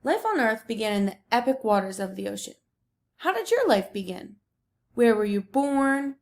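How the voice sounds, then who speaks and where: A woman with a fairly high voice talks as a teacher to young listeners during a read-aloud. Her delivery is instructive and full of questions, guiding children through a picture book. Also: very animated, normal speed, darkish tone.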